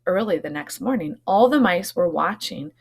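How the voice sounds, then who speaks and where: A woman's voice: lowish voice, expressive, normal speed, creaky, husky. A woman teacher reading a storybook aloud to young children, expressively, with explanatory asides.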